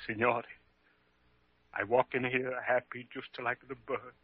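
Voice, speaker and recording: A man plays a devoted Italian immigrant husband weighed down by grief. He speaks emotional, halting broken English with an Italian accent and a rough, husky edge. It is old radio drama acting, captured on a dull vintage recording with very little room sound. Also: medium pitch, level tone, no room sound, close to an everyday voice. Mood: grieving, heartbroken.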